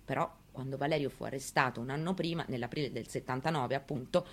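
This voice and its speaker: A woman performing a dramatic monologue as a grieving, resolute elderly mother, in a deliberate, intimate manner. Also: rumbling low. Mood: bitter, measured. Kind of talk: dramatic monologue, theatrical reading.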